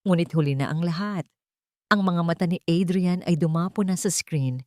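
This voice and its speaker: A woman's voice: fairly low pitch, moderate pace, mellow, no room sound. A woman narrator telling a scripted story in a dramatic storytelling style, recorded close.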